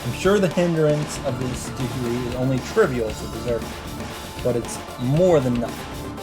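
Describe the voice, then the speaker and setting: A man's voice: medium pitch, normal speed. A man let's player reading visual novel narration dramatically, with casual commentary of his own. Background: game music.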